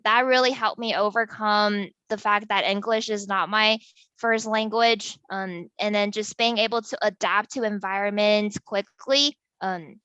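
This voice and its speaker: A woman's voice: mid-range voice, a bit bright, clean tone, no echo, dull audio. A woman in her twenties from Taiwan, explaining things casually as an interviewee. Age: 20s. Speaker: tech company employee being interviewed. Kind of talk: casual explanatory talk about work.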